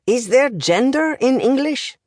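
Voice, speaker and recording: A woman's voice: high voice, animated, a little slow, darkish tone, dry recording, clean studio sound, voice pushed up. A woman voicing an older male professor, opinionated and instructive, in an audiobook. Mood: wry, correcting. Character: older male Romanian language professor, opinionated, smoking, encouraging.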